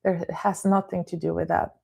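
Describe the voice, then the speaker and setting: Mellow woman coach. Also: fairly low pitch, fairly quick, vocal fry. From Bulgaria. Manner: calm explanatory delivery, greets viewers by name, frequent restarts. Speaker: therapist and life coach. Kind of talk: live-streamed talk to viewers.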